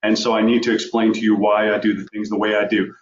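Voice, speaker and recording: A man from Long Island with a faint New York accent, giving a fast, informal webinar presentation over a video call. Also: on the low side, flat delivery, slight background noise. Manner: fast-talking, informal and direct. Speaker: guest speaker. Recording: echoey, dull video call audio.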